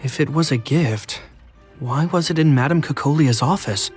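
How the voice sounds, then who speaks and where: An actor playing a dutiful, stern and restrained young soldier brother in a video game. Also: male voice, on the high side, moderate pace, faint music behind, lightly acted. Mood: solemn. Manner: earnest, restrained.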